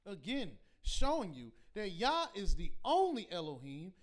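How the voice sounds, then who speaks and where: A man teaching a scripture class in a preaching style, reading verses slowly and pressing his points with repeated rhetorical questions. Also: sits high, huge pitch swings. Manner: emphatic, repetitive rhetorical questions; slow deliberate scripture reading.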